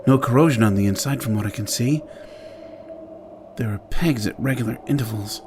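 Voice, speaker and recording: A man's voice: mid-range voice, brisk, noisy background, close to an everyday voice. An actor playing a rugged, wary Earth-born outlaw on Mars in an audio drama, intense and theatrical as he talks himself through things. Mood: wary wonder. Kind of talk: audio drama acting with first-person narration.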